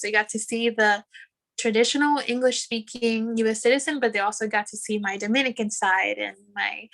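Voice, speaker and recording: A woman from New York City on a panel, telling a story in a lively way and acting out the dialogue. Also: medium pitch, vocal fry. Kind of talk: casual panel chat.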